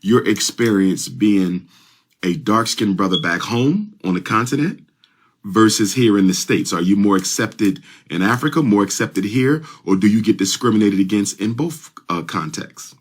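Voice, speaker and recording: Male call-in host, low voice, encouraging and repeating callers' answers. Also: normal speed. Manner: affirming, repeats "yes, sir" and "my brother". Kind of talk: live call-in show.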